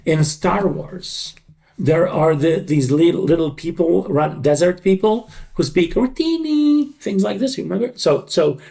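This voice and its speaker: A middle-aged or older man speaking reflectively in an interview, in deliberate, halting, emphatic short phrases. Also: sits high, lively intonation, gravelly, dark tone. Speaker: dubbing creative director.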